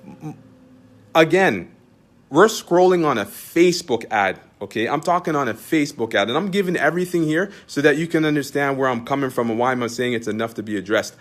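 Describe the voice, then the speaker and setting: A man's voice: medium pitch, expressive, brisk. A man with a Canadian accent, an Islamic teacher talking straight to his followers in an emphatic, repetitive way, full of rhetorical questions. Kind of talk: direct-address monologue to followers.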